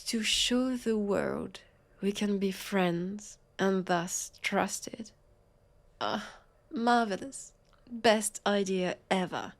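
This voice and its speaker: A woman with a French accent playing a weary, sardonic vampire police officer in an audio roleplay, halting and exasperated. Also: mid-range voice, a little hiss or hum. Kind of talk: scripted audio roleplay acting.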